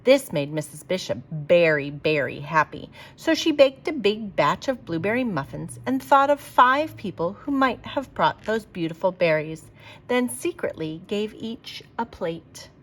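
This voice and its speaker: A woman reading a children's picture book aloud like a teacher, with expressive, emphatic delivery for young listeners. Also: fairly low pitch, unhurried.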